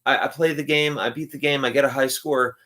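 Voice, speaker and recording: A man's voice: medium pitch, fairly even intonation, fairly quick, pushed and bright. A man of forty-one co-hosting a casual chat, laid-back with dry humor. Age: 40s. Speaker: podcast co-host.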